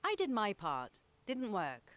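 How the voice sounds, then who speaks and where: A woman's voice: medium pitch, huge pitch swings, slow pace, fairly bright tone, heavily acted. A woman playing a blunt noblewoman envoy in a fantasy game, brusque and sardonic. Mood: brusque, irritated. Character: blunt, gruff noblewoman envoy, impatient with formalities.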